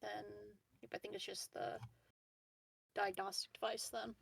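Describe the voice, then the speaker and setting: Very creaky-voiced woman playing a tabletop game. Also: medium pitch, little pitch movement, a little slow, no room sound, far from the mic. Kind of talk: casual game-table talk.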